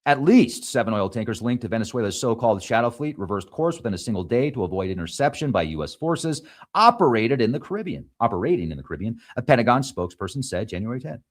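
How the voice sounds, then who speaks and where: A man's voice: mid-range voice, sing-song, fast. Non-native English-speaking man, mid-range voice, reading headlines and trips on names. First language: not English. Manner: reads articles aloud, stumbles on names, adds asides. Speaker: show host. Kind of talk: reading news headlines with commentary.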